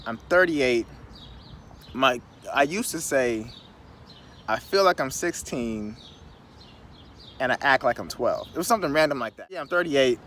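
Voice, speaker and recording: A man in his thirties talking casually outdoors on a city curb, rambling and conversational with animated asides. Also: medium pitch, a little slow, long pauses. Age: 30s. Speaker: vlogger. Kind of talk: casual outdoor vlog monologue. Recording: faint street noise, wind on mic. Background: wind and passing traffic.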